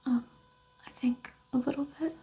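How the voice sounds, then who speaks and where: A woman with a whispery, breathy voice, giving short, hesitant answers as the patient in a quiet ASMR roleplay. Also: high-pitched, fairly even intonation, normal speed.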